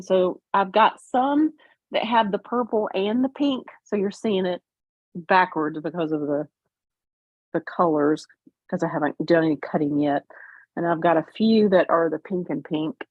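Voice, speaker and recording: Husky country American woman, muffled audio. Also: medium pitch, normal speed, no room sound. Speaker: livestream host. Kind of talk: casual livestream chat while sewing.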